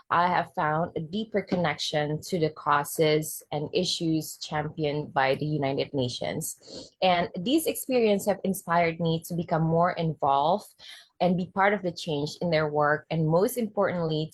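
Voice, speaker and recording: A woman from Indonesia presents her talk in an earnest webinar style. Her voice sits fairly low, and the sound is dry and close, with no room around it. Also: slightly rough, dry recording. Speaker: guest speaker, fashion designer.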